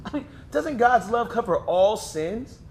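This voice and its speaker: A man's voice: very high voice, animated, unhurried, a little hiss or hum, exaggerated voice. An actor playing an outspoken young man in a stage play, teasing and arguing with his aunt. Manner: teasing, laughing, argumentative. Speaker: older cousin figure.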